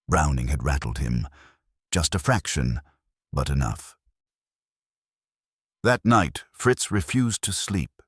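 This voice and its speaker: Very deep, husky male story narrator. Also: dry recording, studio quality. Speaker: audiobook narrator telling the story as a detective's assistant. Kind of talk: first-person scripted detective story narration.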